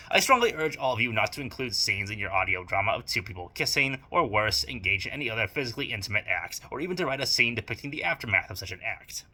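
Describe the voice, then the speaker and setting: A young man reading a scripted video essay with advice on audio drama, speaking in a measured, formal way and using dry, emphatic repetition. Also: mid-range voice, pushed and bright, husky.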